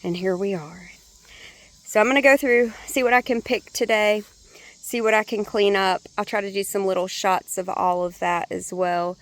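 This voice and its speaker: A woman vlogging a casual walk-through of her home garden, speaking in a chatty, relaxed way with a hoarse, congested voice. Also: medium pitch, moderate pace. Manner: chatty, relaxed, thinking aloud.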